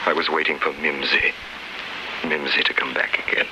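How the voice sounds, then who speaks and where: An actor playing an anguished, devoted prisoner in a solemn, impassioned 1930s radio drama style. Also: male voice, a bass voice, little pitch movement, normal speed, background noise. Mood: tender. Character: anguished, devoted middle-aged male prisoner looking back on love. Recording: 1930s radio broadcast with music.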